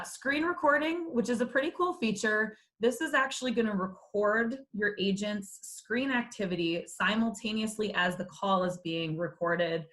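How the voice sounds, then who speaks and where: A woman's voice: mid-range voice, a bit bright. A woman presenting in a webinar, explaining features in a business-like way.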